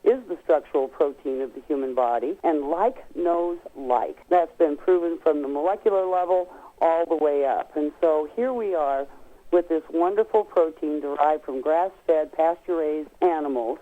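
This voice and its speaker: A woman's voice: low-pitched, moderate pace. A woman guest expert on a telephone, giving explanatory answers in an emphatic, folksy way and stretching key words. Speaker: guest expert on nutrition products. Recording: tinny narrow-band telephone line.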